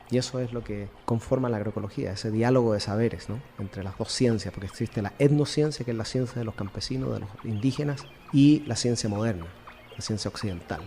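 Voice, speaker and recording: Fast-talking man in a reverberant space. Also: mid-range voice, mellow, a little hiss or hum. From Chile. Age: middle-aged. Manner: didactic, with rhetorical check-ins. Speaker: interview guest, agronomy expert. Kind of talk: explanatory radio interview.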